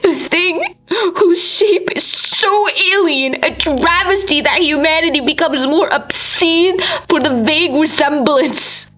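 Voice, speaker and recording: A woman's voice: high voice, slow, fairly bright tone, highs cut off, voice pushed up. A woman voicing a tormented, despairing lone survivor in a dramatic storybook monologue, anguished and swinging between whispers and cries. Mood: tearful despair.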